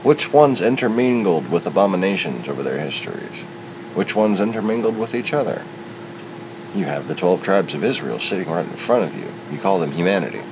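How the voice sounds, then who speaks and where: A man with a very low voice delivers an off-the-cuff monologue. He is opinionated and wandering, with halting phrases. The recording is muffled, with a loud, steady rumble behind him. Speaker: solo commentator. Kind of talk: unscripted rambling monologue.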